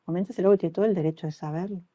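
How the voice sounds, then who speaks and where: Uruguayan woman, middle-aged, anesthesiologist guest; quick, warm delivery, acts out quotes; dull podcast sound. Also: lowish voice. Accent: Uruguayan Spanish. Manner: animated storytelling, acts out quoted dialogue. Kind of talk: conversational interview.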